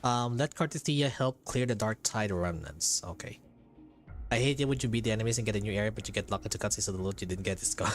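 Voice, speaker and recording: A man's voice: fairly low pitch, fast talker. Rambling Filipino man streaming a game. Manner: rambling, repeats phrases. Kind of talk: casual live gameplay commentary, chatting with viewers. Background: faint game music.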